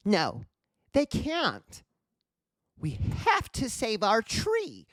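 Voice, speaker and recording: A man voicing excitable, adventurous young children in a storybook. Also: high-pitched, very slow, dry recording, studio quality, full character voice. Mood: eager, urgent.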